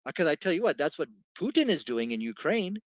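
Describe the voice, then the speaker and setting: Give me an assertive English-accented man with a lively, swooping delivery. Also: a little high, quick pace, no echo. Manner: assertive, dismissive, rhetorical questions. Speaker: debater. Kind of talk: online debate.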